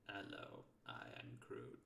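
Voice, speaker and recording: A man voicing a grim, hissing alien creature with a breathy rasp, in a science fiction story reading. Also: a bass voice, lively intonation, slow, sharp tone, pitched below the performer's own voice, heavily acted. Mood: flat greeting. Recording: roomy echo with background noise.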